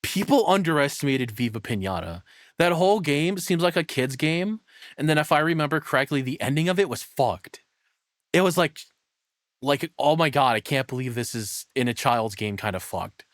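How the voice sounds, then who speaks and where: A man's voice: a little high, animated, normal speed, no echo, studio quality. A tired man casually commentating on a live stream as he reacts to a video, crude, profane and self-deprecating.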